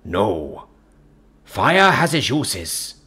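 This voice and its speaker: Man playing a gentle, firm counsellor with lively intonation. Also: on the low side, husky, exaggerated voice. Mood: calm, advising. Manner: measured, earnest, preaching in metaphors. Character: calm, devout male counsellor, gentle but firm.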